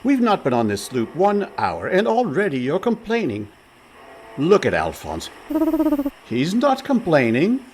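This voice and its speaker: An actor playing a breezy, smooth-talking con man older brother with a glib, theatrical manner in a comic radio play. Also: a little high, wildly swooping pitch, measured pace, darkish tone, slight background noise. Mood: breezy, chiding.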